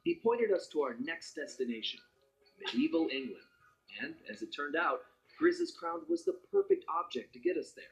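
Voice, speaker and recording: Wry, lively raccoon thief narrator. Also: male voice, fairly high pitch, normal speed, creaky, heavily acted, low-quality recording. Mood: wry, confident. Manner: wry, conversational storytelling. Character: roguish anthropomorphic raccoon master thief, adult male, easygoing. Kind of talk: scripted first-person story narration for a cartoon heist game.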